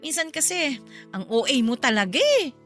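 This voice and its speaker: A woman's voice: high-pitched, sing-song, moderate pace, a bit bright, faint music behind. A woman playing a frank, feisty middle-aged Filipino mother and forgiving best friend in a radio drama. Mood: frank, advising.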